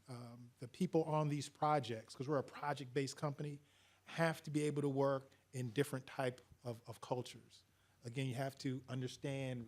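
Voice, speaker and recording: A man's voice: medium pitch, a little mellow. A man speaking as a panelist to an audience, earnest, chuckling now and then, with many fillers. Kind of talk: panel discussion.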